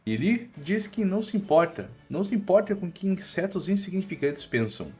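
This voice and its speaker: A man's voice: high-pitched, sing-song, a little fast, darkish tone. A Brazilian man narrating a scripted comic recap in a colloquial, dramatic storytelling manner. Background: music.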